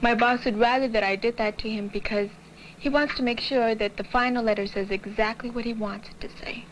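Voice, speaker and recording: A woman's voice: on the high side, fairly even intonation, brisk, a little hiss or hum, poor audio. A woman working as a secretary, speaking in a documentary interview on an old training film soundtrack.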